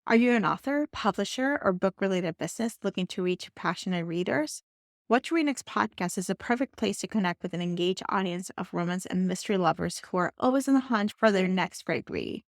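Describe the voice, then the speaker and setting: A woman's voice: medium pitch, lively intonation, fairly quick, no echo, clean studio sound. A woman hosting a casual podcast in an upbeat, enthusiastic way. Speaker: podcast host.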